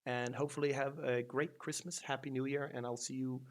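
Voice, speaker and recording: A man's voice: medium pitch, little pitch movement, fairly quick. A man hosting a casual news roundup on his channel, talking loosely, with many ums and false starts. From Denmark. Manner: loose and rambling, lots of ums and restarts. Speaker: SEO news channel host.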